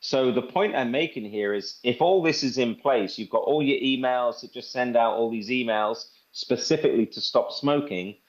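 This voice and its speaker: A man's voice: medium pitch, moderate pace, few pauses. A man presenting an informal product demonstration in conversational talk. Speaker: presenter demonstrating a phone assistant. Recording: dull lo-fi audio.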